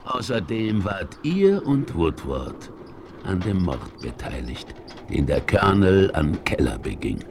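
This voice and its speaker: A man's voice: sing-song, slow, full character voice. An actor playing a stern, dryly ironic western frontiersman hero in a 1970s radio play. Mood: cool, threatening. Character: commanding, coolly mocking western frontiersman hero. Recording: noisy vinyl radio play, vehicle rumble.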